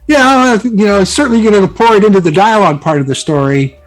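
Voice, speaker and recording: A man speaking as a guest in casual conversation, halting and repeating words as he thinks. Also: high-pitched, poor audio. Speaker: guest author.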